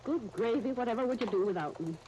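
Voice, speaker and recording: An actress plays a no-nonsense old-time radio spinster. She is tart, folksy and opinionated, her fairly high woman's voice soft-edged, with light disc hiss. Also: lively intonation, fast talker, dull audio, lightly acted. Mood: tart, emphatic. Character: brisk, opinionated, no-nonsense spinster housekeeper type. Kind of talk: 1930s radio acting. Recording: hissy 1939 radio broadcast disc.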